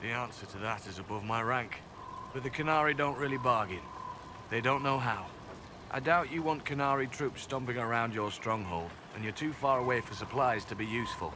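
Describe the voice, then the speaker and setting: A man's voice: medium pitch, brisk, lightly acted. A man playing a guarded elf spy and former slave in a video game, speaking dryly and earnestly. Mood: cool, businesslike. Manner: dry, matter-of-fact, quietly earnest. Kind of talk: video game cutscene acting. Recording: game capture with loud ambient background noise.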